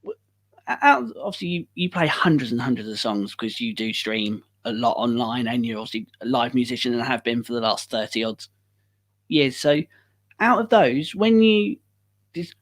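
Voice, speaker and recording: A man's voice: medium pitch, expressive, normal speed. A man interviewing a musician, chatty and teasing, with stumbling restarts. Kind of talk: casual interview.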